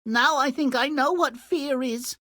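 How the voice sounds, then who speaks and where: A man's voice: very high-pitched, measured pace, pushed and bright, no echo, clean studio sound, heavily acted. A man voicing a naive, curious, fearless young son in a fairy-tale video game, plainspoken and full of questions. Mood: naive curiosity.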